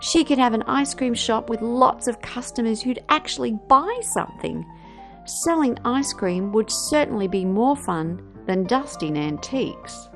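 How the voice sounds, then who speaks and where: A woman reading a children's story aloud with theatrical, emphatic, drawn-out phrasing. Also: a little high, expressive, faint music behind.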